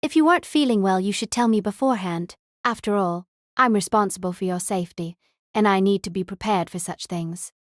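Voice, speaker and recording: A woman's voice: medium pitch, a little fast, no room sound, lightly acted. A dutiful female knight, acted by a woman for an audio drama. She is formal, protective and earnest, recorded close and dry with no room sound. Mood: concerned, questioning. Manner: formal, deferential.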